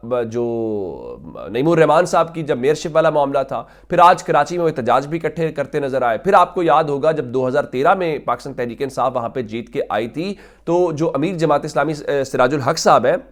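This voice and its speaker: Man, Pakistani accent, slightly high voice, political news commentary, emphatic, rhetorical questions.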